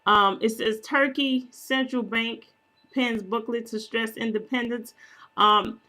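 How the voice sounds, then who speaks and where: A woman talks slowly and casually to her viewers while she walks them through her screen. She often stops to clear her throat, pauses on fillers and corrects herself as she goes. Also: a little high, fairly even intonation, pushed and bright. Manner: unhurried, self-correcting, conversational. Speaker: prophetic minister and video channel host.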